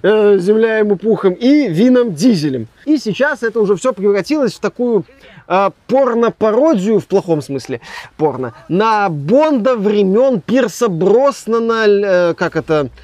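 A man's voice: high-pitched, lively intonation, normal speed, darkish tone, dry recording. A young man reviewing a game in casual conversation, sarcastic and rambling. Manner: sarcastic, rambling, trails off often.

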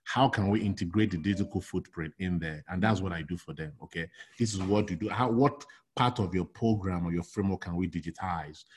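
A middle-aged man from Nigeria, a logistics consultant talking casually in an interview, animated and full of restarts and "you know". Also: sits low, brisk, hardly any pauses, husky.

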